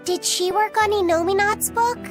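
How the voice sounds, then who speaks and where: A woman voicing an earnest, curious young boy in game dialogue, speaking softly and a little hesitantly. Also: very high-pitched, normal speed, music in the background. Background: game score.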